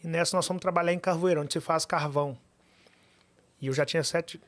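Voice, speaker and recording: A man, sitting as a podcast guest and telling his story. He talks fast and keeps driving his points home by repeating them. His voice sits a bit higher than most men's. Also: dry recording. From Minas Gerais, Brazil. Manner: fast, animated storytelling with emphatic repetitions.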